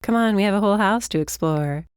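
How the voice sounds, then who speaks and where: A woman reader plays a spirited young woman in a storybook. She speaks casually and bluntly with an excitable streak, in a close, dry recording. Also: fairly low pitch, measured pace, no echo. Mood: wistful.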